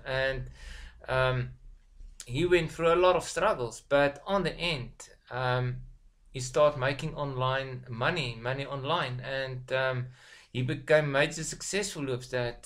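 A man in his forties with an Afrikaans accent, speaking English as a second language in a casual motivational video, halting with many ums and restarts, filmed by a webcam in a small room at home. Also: mid-range voice, a bit bright. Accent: South African Afrikaans. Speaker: online business vlogger. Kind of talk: casual motivational talk to camera. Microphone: webcam at desk distance.

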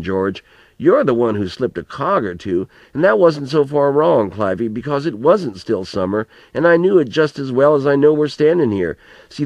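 A man's voice: medium pitch, no room sound, close to an everyday voice. A man reading a storybook as an elderly farmer grandfather, plain-spoken and folksy, telling rambling, earnest reminiscences with some mild swearing. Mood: musing. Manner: colloquial rural storytelling, plain-spoken, mildly profane.

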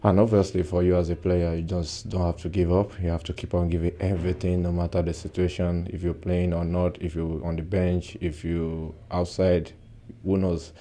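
A young man in his twenties, a footballer giving a soft-spoken post-match interview with many "uh" pauses, recorded indoors close to the mic. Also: deep voice, little pitch movement. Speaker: football player. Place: indoor press backdrop at a stadium.

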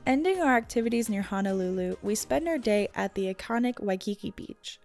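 A woman's voice: medium pitch, expressive, a little fast, a little mellow, soft background music. A woman reading a scripted travel guide narration for a vlog.

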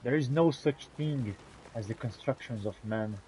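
Mellow man roleplaying through a fantasy game. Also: mid-range voice, normal speed, slight background noise, low-quality recording. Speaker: gamer roleplaying a character in a video game. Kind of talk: in-character roleplay narration of fantasy gameplay.

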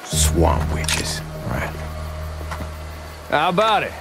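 An actor playing a foul-mouthed, sneering backwoods guard in a video game, sarcastic and contemptuous. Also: male voice, on the high side, huge pitch swings, very slow, creaky, music in the background, heavily acted. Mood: scornful. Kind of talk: video game cutscene acting.

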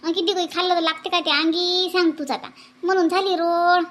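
A woman's voice: very high voice, level tone, brisk, a bit bright. A woman voicing a complaining, gossipy village woman in a comedy cartoon, with a Varhadi Marathi accent and repeated exclamations. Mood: plaintive. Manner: repeats phrases, exclaims often. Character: complaining, gossipy village mother-in-law and her grumbling married daughter.

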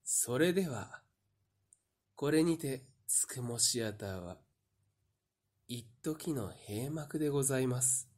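A man's voice: medium pitch, expressive, slow, pauses often, a little mellow. A man delivering a scripted theatre announcement in a formal, courteous manner. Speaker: closing announcer.

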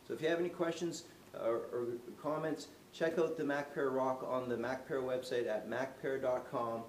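A man's voice: mid-range voice, normal speed. Man demonstrating gear in a roomy showroom. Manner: relaxed, unscripted, many fillers and restarts; moving around while demonstrating. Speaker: product demonstrator. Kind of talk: casual product walkthrough. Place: indoor showroom, medium-sized room. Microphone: camera mic from a distance. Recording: roomy echo, distant camera mic, faint hiss.

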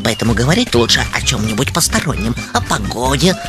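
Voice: medium pitch, sing-song, fairly quick, music in the background, natural voice. An actor voicing a touchy, anxious, bookish young male hedgehog in a cartoon, prim and fussily articulate. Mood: guarded. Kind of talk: cartoon voice acting.

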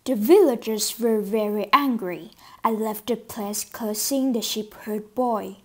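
A woman narrating a fable in a student puppet show, in slow, clear storybook style. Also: medium pitch, heavy background noise.

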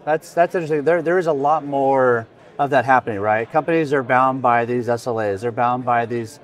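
A man's voice: medium pitch, brisk. A man in his forties conducting a casual interview, with informal, rambling phrasing. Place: busy indoor expo hall booth. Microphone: podcast microphone on stand.

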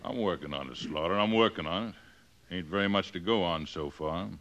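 An actor playing a weary middle-aged town sheriff who talks in a drawling, plainspoken way in a 1950s radio western. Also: male voice, sits low, a little fast, fairly bright tone, muffled recording. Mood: plain. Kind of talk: 1950s radio drama acting.

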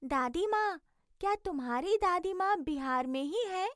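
A woman's voice: very high voice, expressive, unhurried, a bit bright, no room sound, clean studio sound, full character voice. A woman voicing a chirpy, curious little blue bird in an educational cartoon, asking many questions.

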